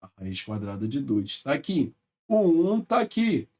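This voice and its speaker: A man's voice: mid-range voice, sing-song, a little slow, a little mellow, recorded from a distance. A male math teacher with a Brazilian Portuguese accent, explaining step by step in a recorded lecture and often checking in with his students.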